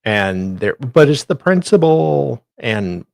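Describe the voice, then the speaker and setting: A man co-hosting a casual talk show, speaking in a measured, somewhat hesitant, conceding way. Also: medium pitch, sing-song, vocal fry, no echo, studio quality. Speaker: co-host. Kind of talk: casual chat, brief reactions.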